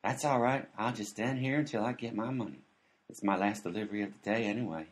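A man playing a stubborn, unhurried deliveryman with a deadpan manner in a comedy radio play. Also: fairly low pitch, natural voice.

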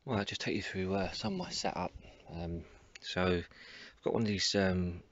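Man, forties, very deep voice, monotone, halting with ums, outdoors on a riverbank, slightly dull phone audio. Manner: relaxed, halting, many ums and restarts. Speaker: angler vlogger. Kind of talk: casual walkthrough of fishing gear. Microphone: phone camera held close.

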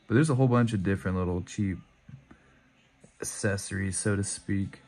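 A man with a lowish voice talks through his riding camera setup in an easygoing, rambling way. He often stops to correct himself. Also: moderate pace, background noise. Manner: laid-back, rambling, self-correcting. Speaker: motovlogger. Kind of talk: casual gear walkthrough.

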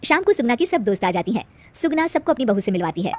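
Woman, scripted children's narration, high voice, animated intonation, storyteller. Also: fast talker, heavy background noise.

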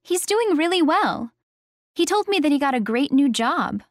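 A woman's voice: high voice, very animated, moderate pace, no echo, studio quality. A woman acting a friendly acquaintance in a scripted textbook dialogue.

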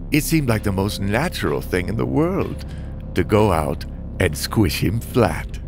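A man playing a sinister, brooding middle-aged criminal mastermind, telling his dark memories in a deliberate, theatrical, menacing way for a motion comic. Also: sits low, full character voice. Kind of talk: dramatic comic-book monologue.

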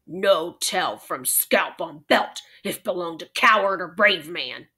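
A woman acts out a tiny Indian warrior from a storybook, a proud and bloodthirsty man who is stubborn and sly. He speaks in short, clipped, broken English, slowly and with weight on each word. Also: mid-range voice, fairly bright tone. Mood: sly, coaxing.

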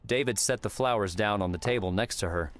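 A man's voice: on the low side, a little fast. A man reading scripted fiction as an audiobook narrator.

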